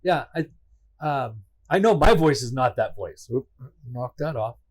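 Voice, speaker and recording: A man's voice: mid-range voice, animated, a little slow. A man co-hosting a casual podcast, self-deprecating and prone to repeating himself, laughing often. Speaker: podcast co-host. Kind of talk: casual chat.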